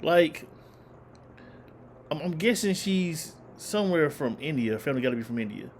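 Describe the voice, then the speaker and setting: A man's voice: fairly high pitch, expressive, normal speed, a little hiss or hum. A man hosting a reaction video, talking casually and incredulously, often repeating himself.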